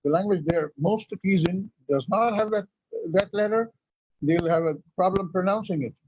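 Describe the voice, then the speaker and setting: A man's voice: high voice, normal speed, a little mellow, slightly rough, no room sound. A man from India whose first language is Urdu, telling stories as a guest in a conversational interview. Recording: lo-fi, dull sound.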